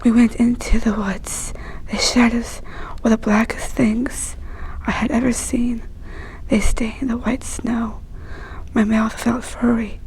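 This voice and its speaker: A woman reading a children's story aloud in a hushed, breathy, whispery storytelling voice. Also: on the high side, rough recording.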